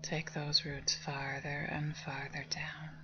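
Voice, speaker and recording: A woman leading a guided meditation with soft, breathy, near-whispered, calming instructions. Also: low-pitched, takes it slowly. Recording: dull lo-fi sound under music. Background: meditation music with singing bowls.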